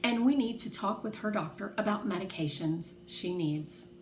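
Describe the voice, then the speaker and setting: A woman voicing a firm, protective, no-nonsense mother in a children's storybook, brisk and polite. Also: medium pitch, close to an everyday voice. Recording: roomy echo, faint background noise.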